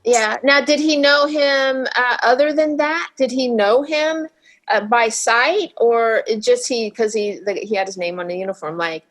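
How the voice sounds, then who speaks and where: A woman's voice: a little high, unhurried. A woman hosting a comedy game podcast over a video call, chatty and asking probing questions. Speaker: podcast host.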